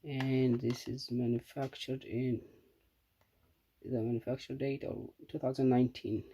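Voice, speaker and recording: A man giving a casual, unscripted hands-on product review in a halting, matter-of-fact way. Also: mid-range voice, little pitch movement, warm tone, husky.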